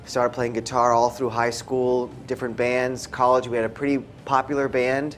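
A man's voice: mid-range voice, little pitch movement, moderate pace, a little hiss or hum. A man from the United States speaking casually as an interview guest, easygoing and laid-back. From United States, after early childhood abroad. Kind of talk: casual chat.

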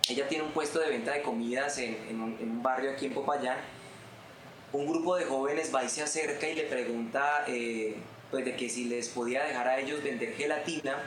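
A man's voice: medium pitch, fairly bright tone. Earnest young Colombian man, roomy sound. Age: 20s. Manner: earnest, heartfelt storytelling. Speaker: guest musician. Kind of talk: testimony in an interview. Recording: roomy room with background noise.

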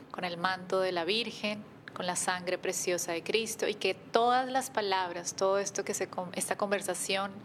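A woman hosting a casual interview, enthusiastic and warm, who opens with a fervent prayer. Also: mid-range voice, slight background noise. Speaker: host.

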